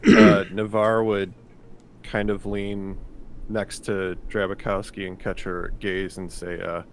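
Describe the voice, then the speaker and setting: A man's voice: deep voice, level tone, takes it slowly, slight background noise. A man playing a tabletop game, hesitantly narrating his character's actions and speaking the lines.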